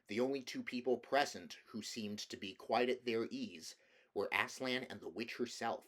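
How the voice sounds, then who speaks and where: A man's voice: fairly low pitch, fairly bright tone. A man reading a children's fantasy novel aloud as scripted storybook narration. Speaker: amateur audiobook reader.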